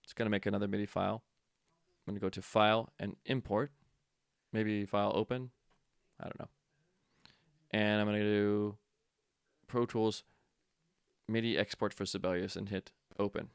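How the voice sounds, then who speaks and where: A man with a low voice and plenty of fry, talking through a software walkthrough like an instructor. He is informal and offhand, thinking aloud as he goes. The sound is close and dry. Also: fairly even intonation, normal speed, long pauses, no echo. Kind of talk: casual software tutorial walkthrough.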